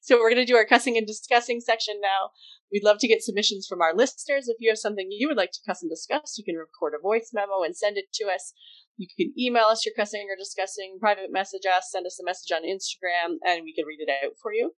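An easygoing woman co-hosts a casual podcast and chats at an ordinary middle pitch. She laughs often and restarts her sentences as she works out her thoughts. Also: fast, poor audio. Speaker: podcast co-host.

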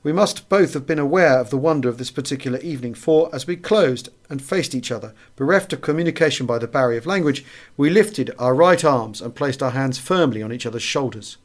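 A man's voice: mid-range voice, animated, fairly quick. Man reading a literary memoir. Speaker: audiobook narrator. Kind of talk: scripted literary narration of a wartime memoir.

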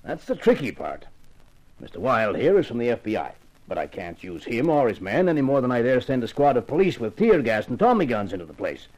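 A man's voice: mid-range voice, very animated, fairly quick, darkish tone, low-quality recording. A man playing a courteous, authoritative gray-haired government official in a 1950s radio crime drama, speaking formally and explaining carefully. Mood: earnest, authoritative.

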